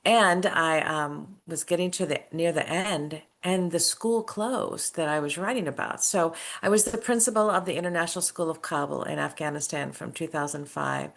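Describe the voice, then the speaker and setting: Woman, middle-aged, low-pitched, gravelly fry, earnest reflective interview storytelling. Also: normal speed, hardly any pauses, a bit bright. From United States. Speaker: author and former school principal.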